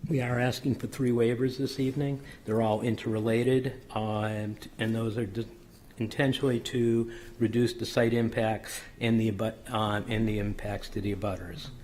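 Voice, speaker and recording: Hoarse man presenting plans, flat and low. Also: normal speed, slight background noise. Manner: methodical, technical, frequent ums and self-corrections. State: slightly hoarse. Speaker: applicant's engineer presenting a subdivision plan. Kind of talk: formal presentation at a public board meeting. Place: city council chamber.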